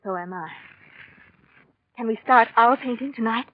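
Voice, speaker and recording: A woman plays a seductive, insistent society lady from a 1940s radio drama. Her voice is on the high side, coaxing and intimate, on a dull recording with noise. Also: moderate pace, natural voice. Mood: yearning.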